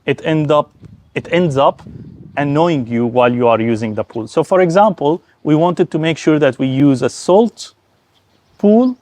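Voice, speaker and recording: A man's voice: fairly high pitch, normal speed, a little mellow. A man from Syria with a Syrian Arabic accent speaks enthusiastically and conversationally, explaining things as host of an informal garden and villa tour.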